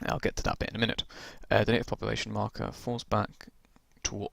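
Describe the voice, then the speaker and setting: A man with a low, gravelly voice talks his way through a tabletop game's rules, rambling and restarting as he goes. His voice has turned croaky after a long stretch of talking. The recording is dry and close. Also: level tone, quick pace, fairly bright tone, no echo. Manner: rambling, many ums and restarts. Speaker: tabletop game reviewer. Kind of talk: casual rules walkthrough.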